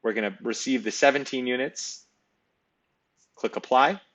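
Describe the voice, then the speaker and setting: Man walking through a software tutorial step by step. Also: mid-range voice, normal speed. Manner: explanatory, step-by-step, conversational. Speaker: software demonstrator. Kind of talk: screen-recorded tutorial walkthrough.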